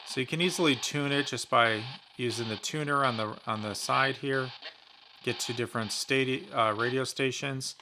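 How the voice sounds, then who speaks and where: A man's voice: mid-range voice, moderate pace, noisy background. A man giving casual, conversational commentary as he reviews a product in an unboxing. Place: indoors in a basement.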